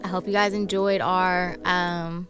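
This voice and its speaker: A woman's voice: on the low side, level tone, measured pace, over music. Easygoing woman podcast host. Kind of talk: casual chat.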